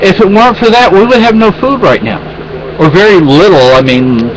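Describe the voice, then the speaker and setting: A man's voice: high voice, moderate pace, low-quality recording. A man venting in a rambling, exasperated way in a casual home vlog, with many restarts. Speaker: vlogger.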